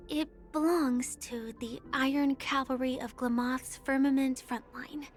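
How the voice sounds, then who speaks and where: A gentle young woman from a video game who carries a secret. Her voice is high and soft, with breathy edges. She speaks slowly and earnestly over faint music. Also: fairly even intonation. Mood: wistful, confiding.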